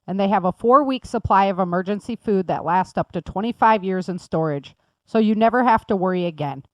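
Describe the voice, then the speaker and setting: A woman's voice: mid-range voice, dry recording. A woman, the creator of the series, reading scripted promos in an earnest, urgent manner.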